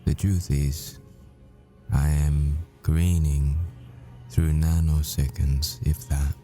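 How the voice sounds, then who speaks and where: Man acting a deep, slow, grieving boyfriend. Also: level tone, warm tone. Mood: anguished, defiant. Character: grieving, devoted British professor boyfriend at world's end. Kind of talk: intimate ASMR boyfriend roleplay, dramatic monologue. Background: music.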